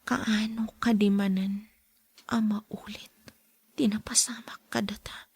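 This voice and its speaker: A woman's voice: mid-range voice, flat delivery, a little slow, a little mellow, breathy edge. A woman playing a betrayed, hurt young wife in an Ilocano audio drama. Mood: quiet, suspicious.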